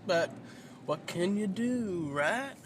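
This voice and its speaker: Guy with a whiny nasally voice rambling slow on his podcast, kinda high pitched, cusses a lot. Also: expressive, a little hiss or hum. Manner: rambling, self-deprecating, swears freely. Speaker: podcast host. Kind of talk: casual solo podcast monologue.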